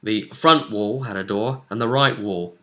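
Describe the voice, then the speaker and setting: A man's voice: on the low side, unhurried, sharp tone. A man explaining a tabletop role-playing session as game master in casual conversation, often turning statements into tag questions.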